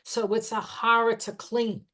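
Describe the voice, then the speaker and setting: Slow, unhurried woman explaining herbs. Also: on the high side, flat delivery, a bit bright. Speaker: herbal educator. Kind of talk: informal talk on herbal remedies.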